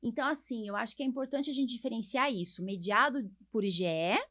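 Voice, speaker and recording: Higher-voiced Brazilian woman chatting on a podcast. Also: fast, fairly bright tone. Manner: informal explainer, uses diminutives and frequent tag questions. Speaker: podcast host, allergist doctor. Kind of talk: casual podcast conversation.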